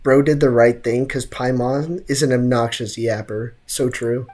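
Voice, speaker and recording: A man's voice: mid-range voice, steady tone, measured pace, a little mellow. A young man giving casual, slangy game commentary and joking with his livestream chat. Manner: slangy, jokey, theatrical outbursts.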